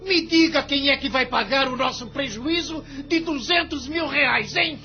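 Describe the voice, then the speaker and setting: An actor playing a greedy, scornful, hot-tempered law-firm boss with a Brazilian accent in an audio drama. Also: male voice, extremely high pitch, unhurried. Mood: outraged. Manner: mocking, sarcastic, blustering. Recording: dull lo-fi audio, music underneath.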